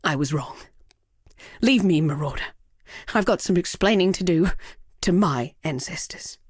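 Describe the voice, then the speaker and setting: An actress playing a proud, sardonic villainess and world-weary killer in a video game, speaking in a theatrical, measured way. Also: female voice, fairly low pitch, expressive, husky, dry recording, studio quality. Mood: weary, resigned. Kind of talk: dramatic scripted game dialogue.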